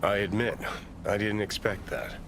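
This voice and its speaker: An actor playing a cynical, mocking sidekick in a video game, sardonic and theatrical with a dry wit. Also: male voice, on the low side, little pitch movement, moderate pace, noisy background, lightly acted. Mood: teasing.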